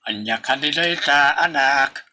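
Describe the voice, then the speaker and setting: A man voicing a kindly, delighted old father in a radio drama, with slow, drawn-out words. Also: medium pitch, sharp tone. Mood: pleased, tender.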